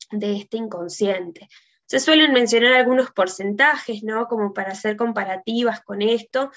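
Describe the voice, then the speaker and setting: A woman with a Uruguayan Spanish accent giving an informal slide presentation as a teacher, recorded indoors on a video call. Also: a little high. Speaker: tarot teacher giving a conference talk. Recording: dull, lo-fi video call audio.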